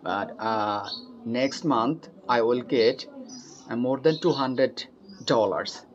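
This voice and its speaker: A man's voice: medium pitch, slow, slight background noise. Chatty young Bangladeshi man on a call. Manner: eager, chatty, laughs often, hesitant fillers. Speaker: host practicing English. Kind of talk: casual conversation practice. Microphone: video call. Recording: dull lo-fi call audio.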